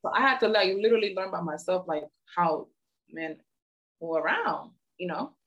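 A woman in her mid-twenties with a somewhat low voice speaks frankly and earnestly. Her talk is casual and full of slang, in a relaxed conversation. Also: normal speed, muffled recording. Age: 20s. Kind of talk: casual chat.

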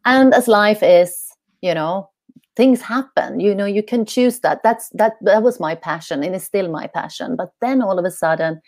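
A woman's voice: medium pitch, normal speed, a little mellow, no room sound, studio quality. Earnest middle-aged woman from Sweden. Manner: earnest, searching for words, many "you know"s. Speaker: guest, coach. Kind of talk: telling her life story in an interview.

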